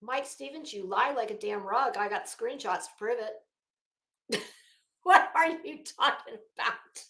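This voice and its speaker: An American woman hosting a livestream, chatting casually with viewers in a sarcastic, blunt, sweary and animated way. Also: a little high, a little slow. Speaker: livestream host. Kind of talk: casual chat with live viewers. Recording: roomy, echoey sound.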